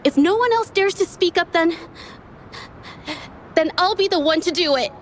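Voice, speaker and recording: A woman's voice: very high-pitched, animated, normal speed, slight background noise. A woman voicing an earnest, resolute young female painter in a video game, hesitant at times.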